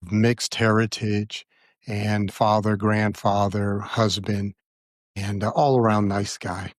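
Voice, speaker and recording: A man's voice: fairly low pitch, level tone, no echo, studio quality. Earnest man in his sixties. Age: 60s. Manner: earnest, measured, with frequent fillers. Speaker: podcast guest. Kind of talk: reflective conversational interview.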